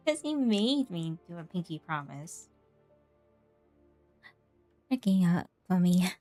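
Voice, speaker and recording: A woman's voice: on the low side, sing-song, takes it slowly, warm tone, dry recording, soft background music. A woman game streamer giving casual commentary as she plays.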